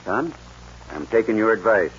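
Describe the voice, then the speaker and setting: A man's voice: lowish voice, expressive, moderate pace, a bit bright. An actor playing a proud, stubborn aging rancher father in a 1940s radio western, with a folksy American drawl and a stammer when moved. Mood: earnest. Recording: noisy muffled 1940s radio recording.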